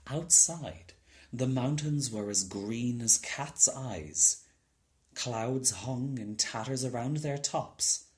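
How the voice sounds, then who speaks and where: A man's voice: mid-range voice, slow pace, darkish tone. A man narrating a children's storybook as a scripted reading. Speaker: storybook reader.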